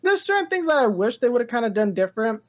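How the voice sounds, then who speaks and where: A woman co-hosting a pop-culture podcast. She talks in a loose, gushing ramble full of filler words, like an excited fan chatting with a friend. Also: on the high side, fast. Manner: rambling, heavy use of "like" and "dude"; enthusiastic, gushing. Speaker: podcast co-host. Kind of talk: casual pop-culture chat.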